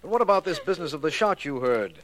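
An actor playing a businesslike, inquisitive law official in a 1940s radio drama. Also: male voice, fairly high pitch, sing-song, quick pace, fairly bright tone. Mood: probing. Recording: noisy old 1940s radio recording.

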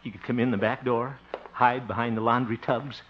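An actor playing a folksy, good-natured, nervous bachelor in a 1950s radio comedy, hesitant and given to chuckling. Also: male voice, mid-range voice, steady tone, moderate pace, a little hiss or hum, lightly acted. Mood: jovial, uneasy storytelling. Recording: dull, muffled 1950s radio recording.

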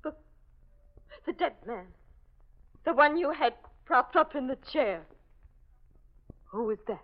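A woman's voice: sits high, animated, takes it slowly. An actress playing a frantic, murderous young woman in a melodramatic old radio thriller. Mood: shaken, bewildered. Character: hysterical murderous young woman cornered into confessing. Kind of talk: 1940s radio thriller acting. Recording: muffled vintage radio broadcast recording.

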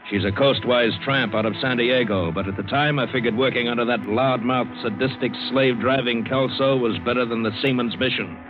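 An actor playing a world-weary, hard-boiled ship's mate in an old radio adventure drama, wry, terse and sardonic. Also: male voice, medium pitch, fairly even intonation, brisk, hardly any pauses, over music, close to an everyday voice. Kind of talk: 1940s-50s radio drama narration. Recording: muffled old radio recording.

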